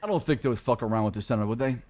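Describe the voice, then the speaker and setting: An Arabic-native man leads a police roleplay on stream. He chooses his words carefully and keeps them plain, thinking aloud as he goes. His voice sits at an everyday middle pitch. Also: rapid-fire, a little mellow. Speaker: streamer playing a police leader. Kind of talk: roleplay chat and talking to stream chat.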